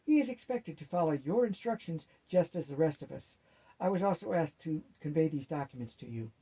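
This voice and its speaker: An earnest storybook grandson played by a male reader, higher in pitch and husky. He sounds sincere, respectful and a little unsure. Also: expressive, brisk, warm tone, low-quality recording. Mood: reporting.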